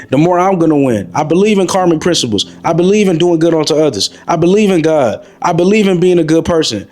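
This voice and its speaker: A man's voice: fairly high pitch, darkish tone. A man in his twenties giving a preachy, repetitive motivational monologue, calling the listener bro. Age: 20s. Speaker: motivational vlogger.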